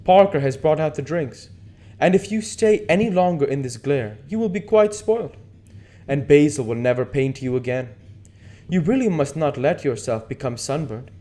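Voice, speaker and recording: Languid aristocratic gentleman, put on by a male reader, mellow tone. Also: on the high side, expressive, moderate pace, lightly acted. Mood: urbane, coaxing. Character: languid, persuasive aristocratic gentleman preaching youth and beauty. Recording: noticeable background noise.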